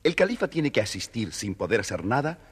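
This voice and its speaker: A man's voice: mid-range voice, very animated, brisk, breathy edge. A man narrating a dramatised children's story, expressively addressing his young listeners.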